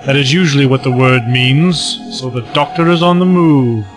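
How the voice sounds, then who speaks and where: A man's voice: mid-range voice, moderate pace, music in the background, close to an everyday voice. A man playing a sly, secretive villain who feigns humility, speaking formally in a science fiction audio drama. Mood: coolly knowing.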